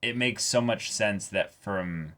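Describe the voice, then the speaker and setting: A man's voice: on the low side, slow pace, a bit bright. A man co-hosting an anime reaction show, chatting casually with plenty of swearing and acting out imagined quotes.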